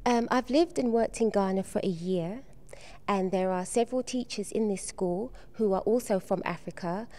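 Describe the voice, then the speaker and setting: A soft-spoken woman in her middle years, explaining a school art exhibition she organized. Her voice is mellow and warm, and she pauses often with ums as she gathers her thoughts. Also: medium pitch. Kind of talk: on-location TV interview.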